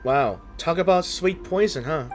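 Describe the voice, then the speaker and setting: A man hosting a gaming video, commenting casually and haltingly as he reasons aloud over game music. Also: fairly high pitch, animated. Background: faint game music.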